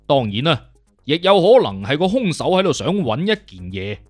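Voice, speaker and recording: A man's voice: on the high side, very animated, normal speed, dry recording, faint music behind. A man playing a witty, conceited detective consultant in an audiobook, with a Cantonese accent and an emphatic, lecturing, self-assured manner. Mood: musing, investigating. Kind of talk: audiobook character acting.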